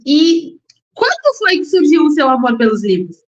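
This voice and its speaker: A woman's voice: sits high, huge pitch swings, normal speed. A woman hosting a podcast interview, upbeat and chatty, often repeating words for emphasis. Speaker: podcast host. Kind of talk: casual interview chat. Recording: echoey, dull lo-fi recording.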